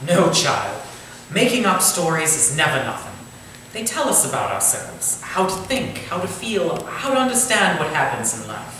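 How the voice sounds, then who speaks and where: Man as genial Victorian author, formal stage delivery, animated intonation, far from the mic, faint noise. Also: a little high, moderate pace, heavy reverb. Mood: encouraging. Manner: formal, eloquent, encouraging, theatrical. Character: genial, courtly Victorian gentleman writer and theatre manager. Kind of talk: staged reading of a Victorian thriller. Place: indoor staged reading, distant microphone.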